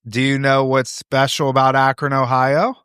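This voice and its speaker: A man's voice: mid-range voice, flat delivery, moderate pace, fairly bright tone, smooth, no room sound, clean studio sound. A man with a Pittsburgh accent hosting a casual podcast interview, joking and teasing his guests and laughing at his own lines. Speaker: podcast host. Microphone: studio podcast mic with headphones.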